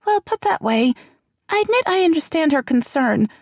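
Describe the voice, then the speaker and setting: A woman voicing a frightened young woman who speaks high and breathlessly to her friend. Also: sing-song, normal speed, a little mellow, no echo, clean studio sound. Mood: eager.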